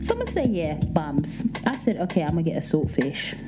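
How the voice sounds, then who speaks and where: A woman with a light, soft voice, hosting a podcast and telling a story in casual, playful chat. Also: low-pitched, normal speed, loud music behind the voice. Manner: animated, playful, breaks into singing and laughter. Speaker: podcast host.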